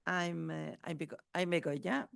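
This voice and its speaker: A woman's voice: on the low side, fairly even intonation, normal speed, vocal fry, dry recording, studio quality. A woman from the Basque Country, a teacher educator, introducing herself on a panel with hesitant restarts. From Basque Country, Spain. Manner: hesitant, restarting words, many fillers.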